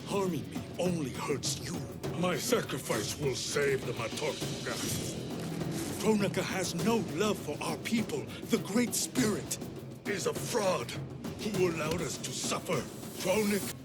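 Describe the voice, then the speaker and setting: A man's voice: a little high, lively intonation, a little slow. Stern, intense warrior shaman. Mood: indignant. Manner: intense, solemn, declamatory. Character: proud, stern male warrior shaman, hot-tempered and righteous. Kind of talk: video game cutscene acting. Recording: voice under loud game music.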